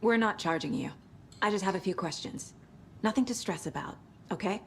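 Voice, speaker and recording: A woman's voice: mid-range voice, fairly quick, vocal fry, a little hiss or hum, natural voice. An actress playing a composed, professional female FBI agent in a game cutscene. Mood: steady. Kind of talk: video game cutscene acting.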